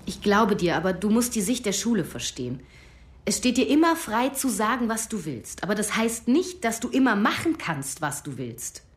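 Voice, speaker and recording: A woman's voice: mid-range voice, very animated, moderate pace, natural voice. A woman playing a firm, patient schoolteacher. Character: earnest female schoolteacher mediating conflict. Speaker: teacher. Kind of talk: radio drama acting.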